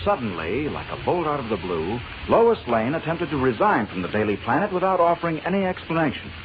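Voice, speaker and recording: Animated male vintage radio narrator. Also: mid-range voice, a little fast. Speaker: announcer and narrator. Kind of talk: dramatic 1940s radio scripted narration. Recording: muffled old radio recording, faint hiss.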